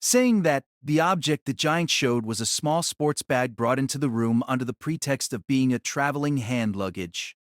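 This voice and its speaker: A man's voice: medium pitch, animated, moderate pace, hardly any pauses, no room sound, studio quality. A man narrating a novel as a scripted audio drama.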